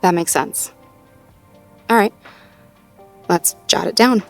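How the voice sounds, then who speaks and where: A woman playing a sweet, supportive girlfriend in an intimate audio roleplay, talking in a gently upbeat, conversational way as she thinks aloud. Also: on the low side, animated, slow pace, gravelly, soft background music, exaggerated voice. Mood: thoughtful, supportive. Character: sweet, supportive girlfriend working through goals with partner.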